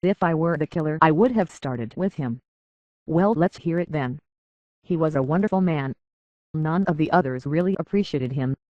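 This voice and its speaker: A woman's voice: low-pitched, moderate pace, no echo, dull audio. A woman voicing a haughty, petulant rich heiress in a robot body for a video game, with pompous, scornful, theatrical diction through a metallic filter. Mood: wistful, peevish.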